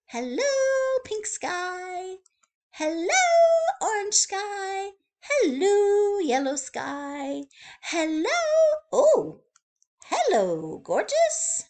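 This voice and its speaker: A woman doing a tiny chatterbox bird for a storybook, her voice pitched far up and bouncing wildly as she gushes through list after list. She is breathless with delight and loud with excitement, a bright little female bird who never pauses. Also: exaggerated voice. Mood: gushing, excited. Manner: excited, gushing, rattles off lists.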